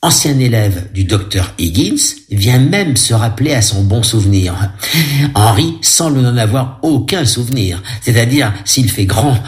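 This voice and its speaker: A man describes a film aloud in a chatty, ironic way, and his pitch moves a lot. He keeps breaking off to joke with his listeners. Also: animated, unhurried. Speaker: audio describer.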